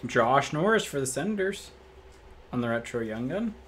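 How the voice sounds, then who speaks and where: A man with a slightly raspy voice hosts a card break, reading off each card in a relaxed, matter-of-fact way and thinking out loud. He is recorded indoors at a table by a fixed camera. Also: medium pitch, normal speed, a little hiss or hum. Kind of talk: casual card-opening commentary.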